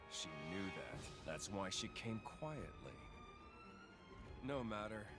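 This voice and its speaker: An actor playing a menacing, sneering villain in a video game, taunting and gloating theatrically. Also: male voice, big pitch swings, takes it slowly, over loud music, full character voice. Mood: amused scheming. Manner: taunting, mocking, swinging from low drawl to shouts. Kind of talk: dramatic video game voice acting.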